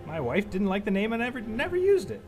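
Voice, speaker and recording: An actor playing an earnest, anxious young husband in a stagey radio drama. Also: male voice, high voice, wildly swooping pitch, quick pace, darkish tone, faint music behind. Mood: pleading.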